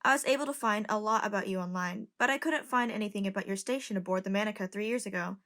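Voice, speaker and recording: A woman voicing a nervous, persistent young journalist in an amateur audio drama, talking in a hesitant, halting way. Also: medium pitch, a bit bright, close to an everyday voice.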